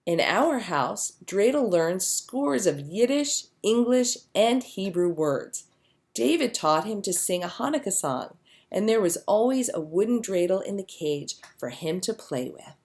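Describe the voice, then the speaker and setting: A woman reading a children's story aloud as a narrator, with expressive storytelling delivery. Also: medium pitch, unhurried. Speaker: storybook reader.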